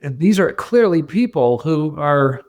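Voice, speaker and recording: A man's voice: a little high, lively intonation, measured pace, a little mellow. A man hosting a podcast, asking long probing questions with hesitations and restarts. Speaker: podcast host. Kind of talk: interviewer questions.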